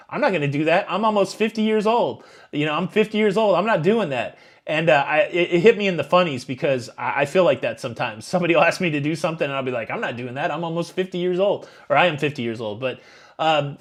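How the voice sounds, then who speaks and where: A man around fifty hosting a live stream, reading viewer questions and answering in a rambling, chatty way with many fillers. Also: a little high. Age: 50s. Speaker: YouTube cruise channel host. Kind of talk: live Q&A chat reading super chats.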